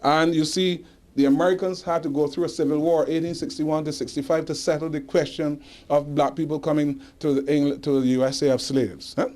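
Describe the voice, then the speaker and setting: A man with a Barbadian accent giving a history lecture to an audience, emphatic and rhetorical, pausing to search for dates and tagging points with "huh?". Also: a little high, little pitch movement, a little mellow. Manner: rhetorical, adds "huh?" tag questions. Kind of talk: lecture answering audience questions. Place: indoor lecture hall.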